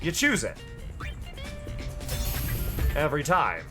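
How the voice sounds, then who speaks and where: Casual streamer, tired voice, rambling strategy talk over clear game music. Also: male voice, on the high side, wildly swooping pitch, takes it slowly, sharp tone. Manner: chatty, filler-heavy explaining. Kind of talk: casual gameplay commentary.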